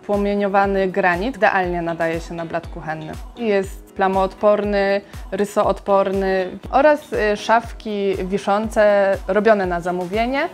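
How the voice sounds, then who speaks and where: Calm woman architect explaining her design. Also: mid-range voice, a little slow, soft background music. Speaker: interior architect, guest.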